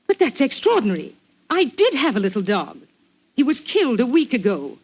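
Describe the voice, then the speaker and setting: An old 1940s radio recording has a young niece. She is well-bred, polite and uneasy. Her careful speech moves widely in pitch. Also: wildly swooping pitch, brisk, husky, full character voice. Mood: surprised.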